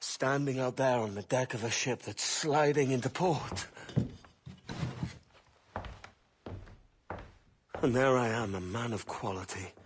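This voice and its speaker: A man's voice: medium pitch, normal speed, breathy edge, close to an everyday voice. A man playing a brash, roguish young pirate captain with a Welsh accent in a video game. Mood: dreamy, pleading. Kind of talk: cinematic video game acting.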